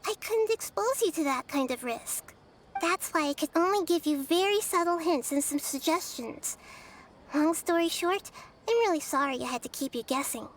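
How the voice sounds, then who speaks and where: Wise child goddess, high gentle voice, measured earnest explaining, video game acting, faint background ambience. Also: female voice, animated, slight background noise. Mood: explaining calmly. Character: gentle, wise young girl deity.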